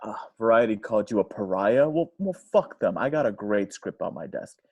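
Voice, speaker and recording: A man playing a harried, smooth-talking Hollywood studio boss in a horror script read at home. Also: fairly low pitch, animated, moderate pace. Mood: placating, flustered. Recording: dull home video-call audio.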